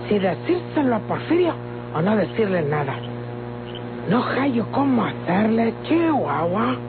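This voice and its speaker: An actress playing an agitated, secretive country daughter in an old radio melodrama, with rustic speech and a Mexican accent. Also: female voice, mid-range voice, sing-song, measured pace. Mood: nervous, evasive. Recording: tinny old radio recording, loud music.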